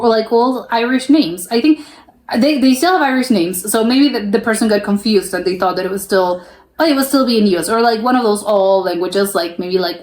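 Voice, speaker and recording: A woman's voice: fairly high pitch, a little mellow, echoey room. A woman reacting to clips in casual commentary, chatty with many fillers and restarts, laughing often. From United States. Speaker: reaction video host.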